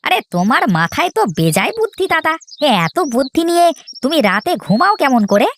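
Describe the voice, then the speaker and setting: A high, swooping cartoon voice for a cheeky farm lad, acted by a woman. He is impatient and whiny, forever grumbling and butting in. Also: very animated, brisk, fairly bright tone, slight background noise, exaggerated voice. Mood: mocking, impatient. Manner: whiny, complaining, interjects often. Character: impatient, grumbling, cheeky middle brother of farming family. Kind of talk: children's cartoon dialogue.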